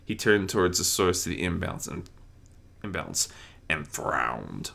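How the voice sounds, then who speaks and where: A man's voice: low-pitched, sing-song, unhurried, vocal fry, sharp tone. A man reading a scripted story aloud as its narrator. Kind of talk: scripted fan-fiction narration.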